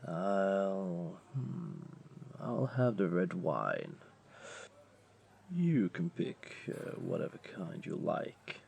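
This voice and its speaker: A man's voice: low voice, huge pitch swings, creaky, a little mellow, exaggerated voice. A man voicing a flirty, laid-back jaguar beastling, a tavern regular who teases and coaxes the listener in a roleplay audio drama. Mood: relaxed, inviting. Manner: playful, coaxing, unhurried teasing.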